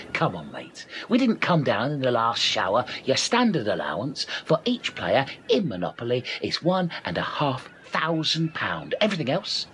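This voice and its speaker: Sceptical male castaway played by a man for radio comedy; sarcastic, pedantic, lively intonation, faint noise behind. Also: fairly high pitch. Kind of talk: comic radio play acting.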